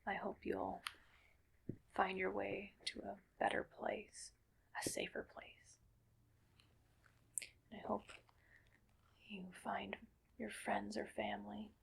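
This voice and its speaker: A woman playing a gentle, weary cyborg soldier in a whispered ASMR roleplay, speaking breathily in slow, halting, soothing phrases. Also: medium pitch, completely flat delivery, gravelly, slight background noise. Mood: gentle, reassuring.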